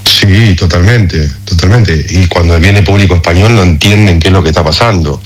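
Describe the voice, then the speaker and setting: Deep-voiced Argentine man in a radio interview. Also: normal speed. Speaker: interview guest, concert promoter.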